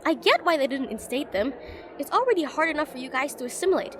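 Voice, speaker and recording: A woman's voice: high voice, big pitch swings, fast, fairly bright tone. A woman playing a shy, rambling young witch student in a slice-of-life fantasy audio roleplay, chatty, hesitant and self-deprecating. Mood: awkward, apologetic. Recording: voice over busy classroom crowd ambience.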